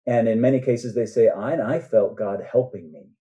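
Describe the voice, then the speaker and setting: A man's voice: medium pitch, moderate pace, mellow, lo-fi sound. An older man chatting casually as a guest author, rambling a little and often checking in with "right?". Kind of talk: casual interview chat.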